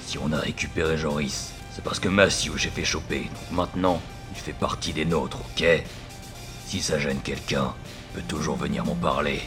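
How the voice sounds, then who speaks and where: A man's voice: very deep voice, fairly even intonation, normal speed, over music. An actor playing an imposing, intimidating male gang leader who speaks with firm command in an audio drama. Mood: menacing, firm. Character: imposing, menacing male gang boss, brains of the crew. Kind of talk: scripted audio drama dialogue.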